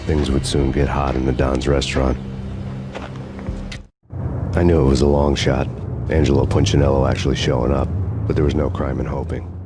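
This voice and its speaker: An actor voicing a weary hardboiled cop who narrates in a grim, slow noir monologue over game music. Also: male voice, rumbling low.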